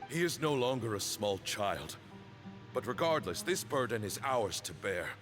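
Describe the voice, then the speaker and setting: An actor playing a resentful old desert temple leader in a video game, speaking in a grave, formal, deliberate way. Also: male voice, on the low side, big pitch swings. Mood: stern. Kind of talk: dramatic video game voice acting. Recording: voice over prominent background music.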